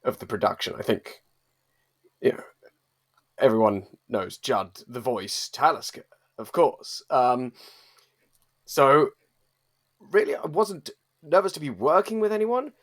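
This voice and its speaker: A man playing a vain, boastful celebrity with a husky voice, talking self-assuredly in a mockumentary interview. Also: medium pitch, lively intonation, measured pace, long pauses, natural voice. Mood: smug.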